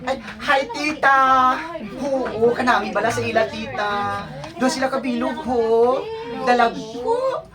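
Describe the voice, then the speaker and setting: A woman's voice: a little high, animated, a little slow, a crowd in the background. Woman playing a pleading schoolgirl, distant mic. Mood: excited, chatty. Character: pleading, eager schoolgirl begging her father. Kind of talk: amateur radio drama acting. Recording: distant mic, faint background noise.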